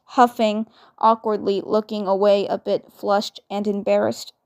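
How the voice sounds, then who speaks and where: A woman reading a story as an amateur narrator, halting and often repeating words. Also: mid-range voice, level tone, a little mellow, dry recording, clean studio sound.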